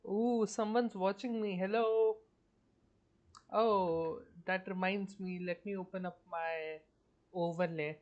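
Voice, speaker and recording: A woman's voice: mid-range voice, steady tone, slow, darkish tone, muffled recording. A woman live streaming a game, chatting casually and murmuring offhand to herself as she reads instructions aloud.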